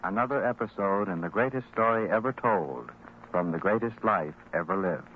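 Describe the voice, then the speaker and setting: A man's voice: lowish voice, animated, normal speed. Super noisy muffled old radio clip, guy announcer doing the old-time drama sign-off style.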